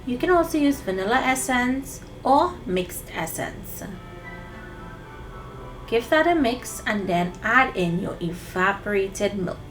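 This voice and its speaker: A woman's voice: a little high, lively intonation, takes it slowly, faint music behind. A woman presenting a recipe for children in an instructive, friendly demonstration style, speaking directly to them.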